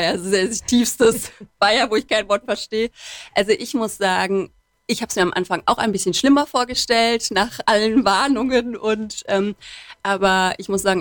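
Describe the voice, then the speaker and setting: A middle-aged woman from Cologne, a managing director, talking chattily in a radio interview with many fillers and warm laughs. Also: medium pitch, no room sound, studio quality. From Cologne, Germany.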